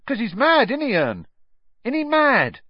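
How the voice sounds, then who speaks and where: A man voicing a chatty, excitable young cockney bus conductor, gossipy and dramatic, for a storybook. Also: very high voice, a little slow, husky, no echo, highs cut off, voice pushed up, exaggerated voice. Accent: London working-class. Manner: gossipy, dramatic, colloquial, tag questions.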